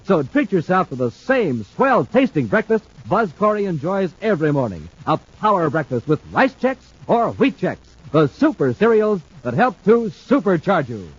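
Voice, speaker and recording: Animated American man, vintage radio announcer. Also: high-pitched, moderate pace, few pauses. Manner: punchy, theatrical, emphatic. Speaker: radio announcer and sponsor pitchman. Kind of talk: 1950s radio announcing, dramatic scripted narration. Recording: dull old radio recording, faint noise.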